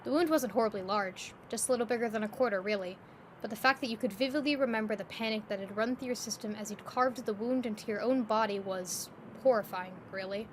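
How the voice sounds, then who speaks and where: A woman narrating a scripted second-person story in an expressive, descriptive storytelling manner. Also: fairly high pitch, fast talker. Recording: faint background ambience noise.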